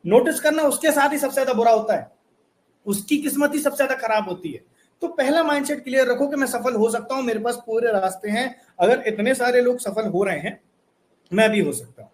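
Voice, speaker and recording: High-voiced earnest man coaching viewers. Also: brisk. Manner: earnest, repetitive, rhetorical questions to the viewer. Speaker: online course instructor. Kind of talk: motivational course lesson.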